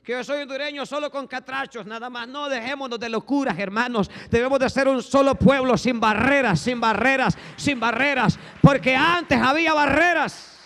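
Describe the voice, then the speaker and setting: A male pastor preaching a fervent sermon, swinging from hushed tones to shouting and repeating "brothers" as a refrain. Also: very high voice, normal speed, hardly any pauses. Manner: repeats "hermanos" as a constant refrain. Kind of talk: Spanish-language sermon. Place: church sanctuary. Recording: long echo of a large hall.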